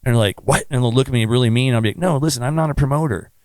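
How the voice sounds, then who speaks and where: A man with a low, raspy voice, chatting bluntly as a musician guest on a podcast. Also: very fast, a little mellow, no echo, clean studio sound. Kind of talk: casual podcast interview.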